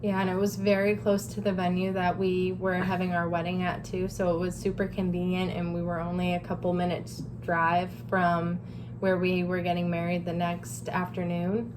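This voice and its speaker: A woman's voice: fairly low pitch, monotone, normal speed, noisy background. A young adult woman hosting a vlog, telling a personal story in a casual chat with her partner. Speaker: vlog host.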